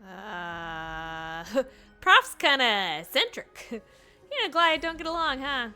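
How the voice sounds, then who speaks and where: A young woman streaming a story game, reacting excitedly, gasping and exclaiming and chatting to a viewer. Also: a little high, slow, clean tone, faint music behind. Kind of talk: excited live reaction commentary.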